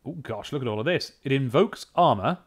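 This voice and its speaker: A young man giving a gaming commentary, thinking aloud and reading game text in a playfully expressive way, close to the mic. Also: medium pitch, fairly quick. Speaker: let's play host. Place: small indoor room at a desk. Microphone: close studio mic on an arm. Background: game music.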